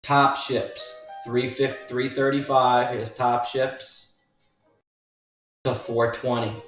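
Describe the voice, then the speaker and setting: Slow-talking man in an echoey room. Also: mid-range voice, little pitch movement, fairly bright tone. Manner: emphatic, repetitive, addressing viewers as folks. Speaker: stock trading educator and newsletter writer. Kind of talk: informal stock chart walkthrough. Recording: roomy echo, distant mic, faint music.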